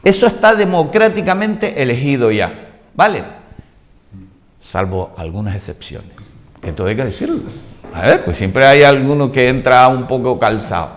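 A man's voice: medium pitch, sing-song, moderate pace. A man in his sixties with a Canarian accent, arguing his point insistently on a TV studio panel and repeating himself to hold the floor. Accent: Canarian Spanish. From Canary Islands. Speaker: debate panelist. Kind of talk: heated political debate. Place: TV studio set. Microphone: lapel mic.

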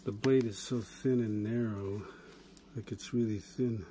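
A man casually demonstrating a pocket knife at a table, relaxed and rambling, with chuckles and filler words. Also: lowish voice, a little slow. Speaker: channel host reviewing a pocket knife. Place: indoors at a wooden table. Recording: steady background noise with handling clicks.

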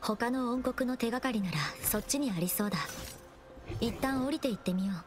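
A woman voicing a young female game adventurer who thinks aloud in a measured, composed, observant way. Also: on the high side, natural voice. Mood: calm, focused. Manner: measured, composed, quietly musing. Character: young female wanderer, calm, observant puzzle-solving explorer. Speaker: game protagonist. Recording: game music over the voice. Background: game music.

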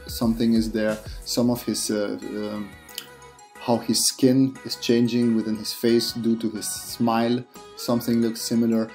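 Brief: male artist in his thirties, English, mellow gravelly voice, easygoing voice-over with soft music behind. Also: medium pitch. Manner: relaxed, self-deprecating, many fillers and restarts. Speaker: artist and channel host. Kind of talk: casual voice-over commentary on a drawing process.

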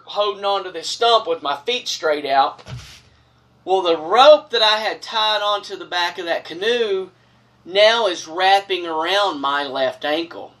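A man's voice: high voice, recorded from a distance. A man, telling a personal story casually and gesturing broadly from a couch in a small wood-paneled room. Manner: animated, gestures broadly with both hands. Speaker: storyteller host.

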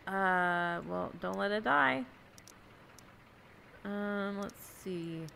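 A woman's voice: medium pitch, very slow, vocal fry, pushed and bright, slight background noise. A young woman streaming a farming game over a headset, chatting casually and giggling as she thinks aloud. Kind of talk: casual gameplay chat. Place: home room indoors.

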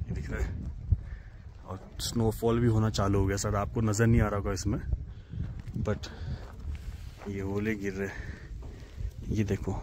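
A man making a casual travel vlog outdoors, excitedly pointing out the road, trucks and lake to his viewers while riding and filming. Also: lowish voice, noisy background. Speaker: travel vlogger. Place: outdoors on mountain roads and lakeside. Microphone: handheld camera, often riding a bike.

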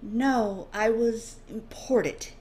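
A woman's voice: a little high, drawn-out words, a little mellow, voice pushed up. Storybook ex-slave scribe, a woman's performance: meek, evasive, guarded, faint noise behind.

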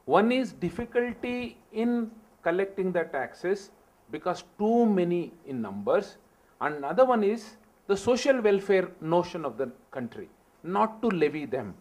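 Middle-aged panelist, explains things point by point, voice goes up and down a lot, handheld mic. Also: male voice, high voice, big pitch swings, unhurried, darkish tone. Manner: explains step by step like a teacher. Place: seminar hall stage.

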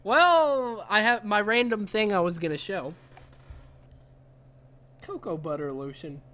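A young adult man co-hosting a gaming podcast with casual, slangy, excitable banter over a headset. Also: high-pitched, unhurried. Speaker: podcast co-host and game streamer. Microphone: headset, online call. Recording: faint background noise.

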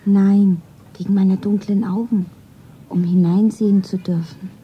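An actress playing a slim, modest young shopgirl who dreamily narrates herself in a radio play, with a soft, breathy voice. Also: mid-range voice, steady tone, unhurried, highs cut off, a little hiss or hum, close to an everyday voice. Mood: bashful, polite.

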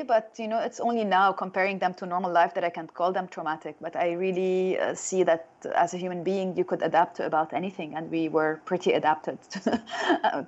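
A woman's voice: fairly low pitch, highs cut off. A woman in her thirties from Lebanon, with a Lebanese accent, telling her own story as an interview guest. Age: 30s.

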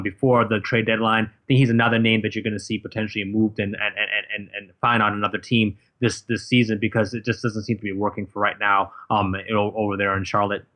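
A man's voice: lowish voice, sharp tone. A man co-hosting a sports podcast, talking through his analysis in a casual, conversational way. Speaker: podcast co-host.

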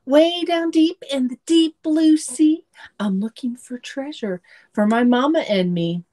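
A middle-aged woman reads a storybook for young children. Her voice sits high and she takes her time, with an expressive, sing-song delivery. It sounds like a home recording on a computer. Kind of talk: children's picture book read-aloud with asides to young listeners. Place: small room at home. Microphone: computer mic on a video call setup.

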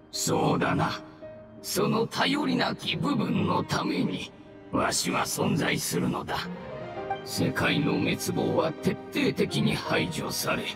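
Dying old fungus spirit in a game, breathy and weak, drags his words, music playing behind. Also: very high-pitched, echoey room, full character voice. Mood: solemn, reverent. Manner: slow, archaic elderly speech, halting. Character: dying ancient mushroom spirit, weary, devoted old male. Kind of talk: dramatic game dialogue acting.

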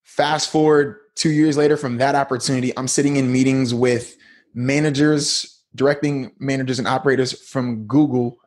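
A man's voice: mid-range voice, steady tone, moderate pace. A man in his thirties, a podcast guest, telling his story in a laid-back, slangy way. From United States. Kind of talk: casual interview talk.

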